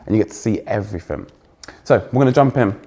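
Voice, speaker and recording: Young English male keynote speaker, fairly posh accent, loud, energetic, teases the crowd. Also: fairly low pitch, fast talker, vocal fry. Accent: trained-out Essex, now fairly posh English. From England. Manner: energetic, joking with the audience, self-deprecating. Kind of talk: conference keynote talk. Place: stage before a live audience.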